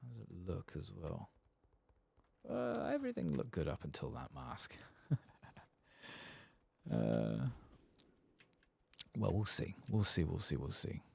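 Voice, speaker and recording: A man giving soft-spoken ASMR commentary over a game playthrough in an unhurried murmur. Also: low voice, wildly swooping pitch, creaky, husky. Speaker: ASMR gaming streamer.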